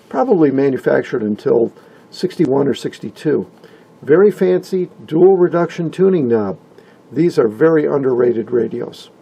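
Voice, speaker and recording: Gravelly, husky man narrating a hobby video. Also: mid-range voice, dark tone. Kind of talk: scripted, enthusiastic hobbyist narration with asides.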